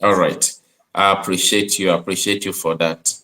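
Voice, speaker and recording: A man's voice: low voice, level tone, moderate pace, sharp tone. Earnest man hosting a call-in. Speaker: online show host. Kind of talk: casual call-in chat.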